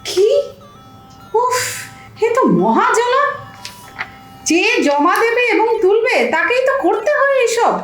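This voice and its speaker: A woman playing an exasperated, sharp-tongued younger bank customer in a comic audio drama, protesting as she gets pestered. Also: very high-pitched, lively intonation, unhurried, pauses often, echoey room, soft background music. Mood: fed up.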